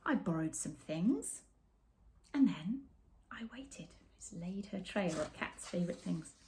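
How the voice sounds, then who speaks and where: Animated middle-aged woman reading a storybook. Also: fairly low pitch, slight background noise. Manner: animated, dramatic pauses, drops into whispers. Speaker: children's author reading a picture book aloud. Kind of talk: expressive storytime read-aloud with asides to young viewers. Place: small room with bookshelves, indoors.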